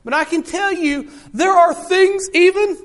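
A man preaching a sermon in a notably high, light voice. He talks earnestly and circles back on his points, often turning to the congregation to address them directly. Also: unhurried, fairly bright tone. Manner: earnest, repetitive, often addresses listeners as folks.

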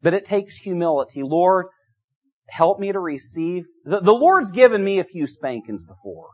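A man's voice: high-pitched, sing-song, moderate pace. A middle-aged man preaching a sermon, emphatic and repetitive, his volume rising and falling. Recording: dull lo-fi sound.

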